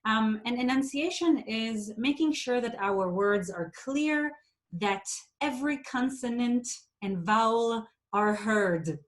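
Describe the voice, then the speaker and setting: A woman from Israel whose first language is Hebrew, coaching public speaking in a clear, deliberate way and sometimes exaggerating words to demonstrate. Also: fairly high pitch. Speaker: presentation skills coach. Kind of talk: instructional talk.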